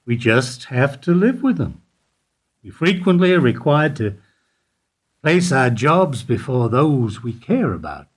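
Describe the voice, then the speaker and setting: A man acting in a radio play as an evasive older bank employee who answers in a guarded, deliberate way. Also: medium pitch, very animated. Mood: reflective, weary. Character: evasive older male bank foyer employee under questioning.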